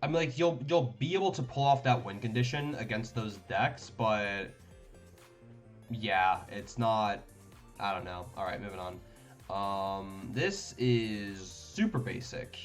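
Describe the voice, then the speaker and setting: A man's voice: on the low side, animated, unhurried, faint music behind. Rambling young man thinking aloud. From Denmark. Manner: thinks aloud, rambling with many fillers and restarts. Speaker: card game streamer. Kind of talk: casual live-stream commentary.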